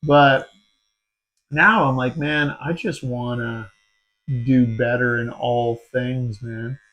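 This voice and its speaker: A man's voice: medium pitch, takes it slowly, rough recording. A man in his forties, hosting a podcast, telling stories in casual chat with lots of swearing and "dude". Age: 40s. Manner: swearing, animated storytelling, says dude a lot. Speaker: podcast host.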